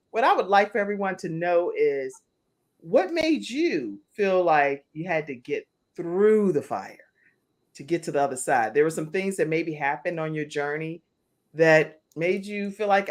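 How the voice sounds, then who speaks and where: A woman hosting her own talk show, chatty and emphatic, welcoming guests and viewers. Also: fairly low pitch. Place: virtual studio.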